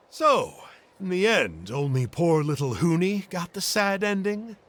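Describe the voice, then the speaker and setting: A man's voice: high voice, sing-song, slow, a bit bright, full character voice. A man voicing a playful, self-dramatizing storytelling uncle who coaxes children theatrically in an anime game. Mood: playful, coaxing. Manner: theatrical, coaxing.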